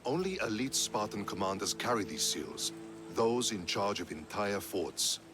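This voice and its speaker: An actor playing a proud, curt, ruthlessly pragmatic Athenian general in a video game cutscene. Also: male voice, fairly low pitch, lively intonation, a little slow, over music. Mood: haughty, determined. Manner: curt, haughty.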